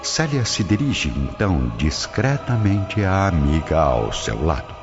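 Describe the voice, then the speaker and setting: A male radio drama narrator with a Brazilian accent and an extremely deep voice. His delivery is dramatic and swings widely in pitch. The recording is a little dull, with music clearly behind him. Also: very animated, measured pace. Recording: dull lo-fi audio with prominent music.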